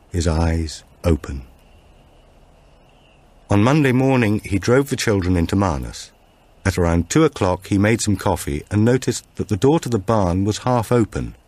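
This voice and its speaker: Male audiobook narrator for suspense fiction: low, husky, measured, with expressive rise and fall. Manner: measured storytelling. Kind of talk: scripted narration of a suspense novel.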